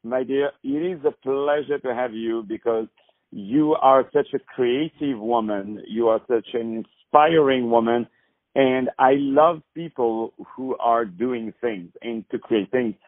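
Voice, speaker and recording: A man's voice: mid-range voice, measured pace, dry recording. Effusive man on a phone line. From France. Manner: effusive, lavish with praise. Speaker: host. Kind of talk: phone interview. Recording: tinny phone line, dry.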